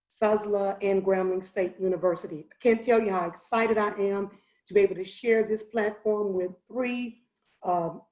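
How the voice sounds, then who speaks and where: A woman in her fifties with a raspy voice, introducing her music master class with enthusiasm in an online presentation. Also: medium pitch, darkish tone, reverberant, rough recording. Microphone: video call.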